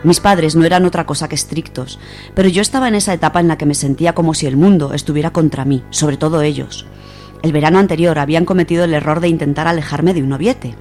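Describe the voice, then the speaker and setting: A woman's voice: sits low, fast, darkish tone, faint music behind. A woman with an accent from Spain, presenting and reading on a literary radio segment. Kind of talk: radio talk and reading of a first-person short story.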